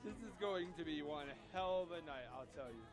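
A student actor playing a nosy, teasing tavern drinker in a live audio drama. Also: male voice, fairly high pitch, expressive, a little fast, natural voice. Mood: wry. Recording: music behind, live radio studio.

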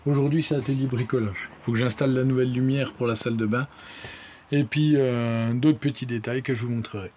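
A young man talks informally to his phone at arm's length. His delivery is wry and colloquial, at a middling pitch. There is faint noise around his voice. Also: steady tone, brisk, a little mellow. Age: young adult. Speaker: vlogger. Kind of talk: casual vlog chat.

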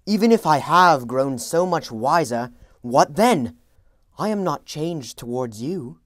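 A man's voice: fairly high pitch, animated, slow, no echo, clean studio sound, voice pushed up. A man reading an audiobook voices an impatient, defensive young man who answers with insistent retorts.

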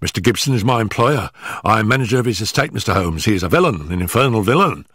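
Guy voicing a nervous twitchy manager, stutters, out of breath, kinda high and rushed, dry sound. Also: lively intonation, a bit bright, husky, dry recording. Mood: agitated. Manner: stammering, hurried, out of breath. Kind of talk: audiobook character reading.

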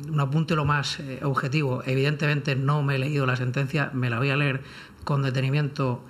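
A man's voice: mid-range voice, level tone, fairly quick, fairly bright tone. A man speaking as a lawyer on a discussion panel, in careful, formal phrases with frequent hesitations. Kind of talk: unscripted legal commentary in a round-table debate.

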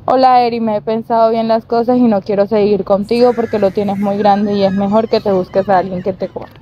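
A woman's voice: medium pitch, little pitch movement, brisk, warm tone, highs cut off, slight background noise. A young woman playing a neighbor in a staged prank skit outdoors, answering in short, matter-of-fact lines. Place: outdoor playground by apartment blocks.

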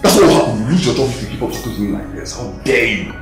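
A wheedling, domineering Nigerian husband in a screen melodrama. His pitch swings widely as he repeats himself insistently, with loud music playing behind the dialogue. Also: very animated, rapid-fire. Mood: indignant. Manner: repeats questions and phrases insistently. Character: philandering husband pleading with and scolding women, wheedling, domineering. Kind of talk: melodramatic screen drama acting.

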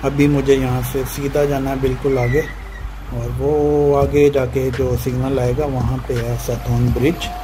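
A man's voice: mid-range voice, level tone, measured pace, background noise. A man giving casual motorbike vlog commentary, pointing out passing sights to viewers. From Pakistan. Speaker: motorbike vlogger. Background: music, vehicle sound.

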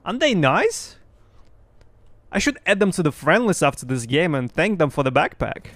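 Excited young man streaming, Russian native. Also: a little high, moderate pace, dry recording. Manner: excited, boastful, talks to chat. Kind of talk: live gameplay commentary. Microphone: headset.

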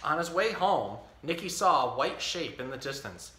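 A man in his thirties hosting a storytime, reading a children's picture book aloud with expressive, clearly enunciated delivery. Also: on the high side, moderate pace. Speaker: storytime host. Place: indoor tiled-floor room. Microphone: camera a few feet away. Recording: echoey room.

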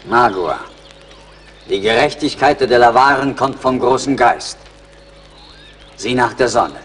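An actor playing a grave, proud warrior in a radio play, speaking in solemn declarations. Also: male voice, mid-range voice, unhurried, fairly bright tone, far from the mic, a little hiss or hum, close to an everyday voice. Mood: solemn warning. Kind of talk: dramatic radio play acting.

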